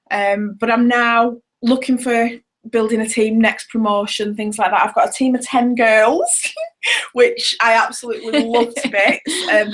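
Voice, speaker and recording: A woman in her thirties gives a personal testimony with a fairly high voice. She speaks earnestly and hesitantly, filling her pauses with ums. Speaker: network marketing seller sharing her story.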